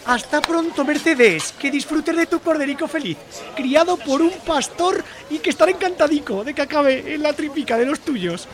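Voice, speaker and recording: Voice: sits high, animated, moderate pace. A performer playing a flustered, chirpy market butcher. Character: jittery, chatty male market butcher. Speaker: character in a radio serial. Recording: crowd murmur behind the voice.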